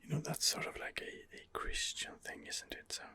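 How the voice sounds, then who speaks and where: A man narrating an ASMR game playthrough in a soft, hesitant half-whisper, thinking aloud as he goes. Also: normal speed. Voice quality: soft, breathy half-whisper with vocal fry. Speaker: gamer role-playing a video game character.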